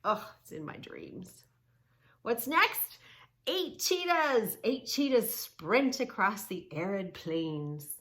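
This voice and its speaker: A woman hosting a children's yoga read-along, teaching in an emphatic, sing-song way with drawn-out key words and questions. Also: medium pitch, sing-song, a bit bright. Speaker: children's yoga story-time host. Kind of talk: read-along lesson for young children, narrating and instructing.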